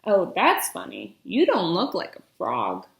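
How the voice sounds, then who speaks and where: A woman's voice: lowish voice, wildly swooping pitch, measured pace, vocal fry, pitched below the performer's own voice, heavily acted. Woman playing a suspicious witch, echoey room. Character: nosy, spell-casting fairy-tale witch, suspicious of princes.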